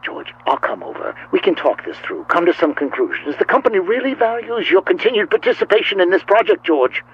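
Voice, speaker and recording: An actor playing a nervous, placating company psychologist in a radio drama, hesitant and stammering, with a husky voice. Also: male voice, sits high, sing-song, brisk, dull audio, full character voice. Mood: anxious pleading.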